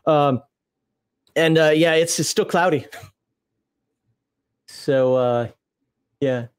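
A man with a slightly raised pitch chats loosely on a live stream. He rambles, fills gaps with "uh" and "you know," and laughs often, his voice a bit worn from days of talking. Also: slow, smooth. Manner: rambling, laughing, many fillers. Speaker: streamer co-host. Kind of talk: casual live-stream chat.

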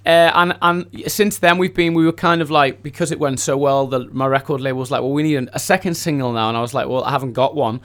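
A man, a singer being interviewed in a radio studio, chatting casually in an effusive, grateful way at a medium pitch. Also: fast, few pauses, a bit bright.

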